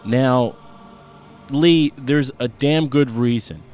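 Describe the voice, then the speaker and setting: A man's voice: medium pitch, takes it slowly, a little mellow, a little hiss or hum, pitched above the performer's own voice, natural voice. A man voicing a firm, protective middle-aged father who talks in a commanding way. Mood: grave.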